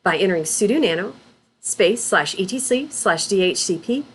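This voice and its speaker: A woman narrating a scripted tutorial as an instructor, in a deliberate, instructional manner. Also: mid-range voice, animated, breathy edge, poor audio. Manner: deliberate, instructional, spells out terms letter by letter. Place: voice-over for a screen recording.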